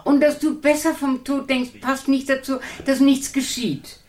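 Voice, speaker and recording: A woman's voice: high-pitched, level tone, measured pace, darkish tone. An actress playing an uneasy but practical, motherly woman in a German radio drama. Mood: deflecting. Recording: kitchen clatter behind the voice.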